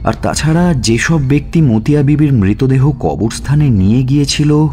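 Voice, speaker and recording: An actor playing a police detective who narrates his murder investigation in a deliberate, questioning manner in an audio suspense story. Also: mid-range voice, warm tone, faint music behind, close to an everyday voice. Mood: puzzled, pondering.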